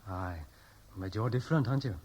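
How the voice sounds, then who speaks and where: A man's voice: lowish voice, a little fast, darkish tone, close to an everyday voice. An actor playing an eager, dutiful, unworldly Welsh groom in his early twenties, boyish and hesitant, in a 1960s radio drama. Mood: hesitant, wistful. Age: young adult.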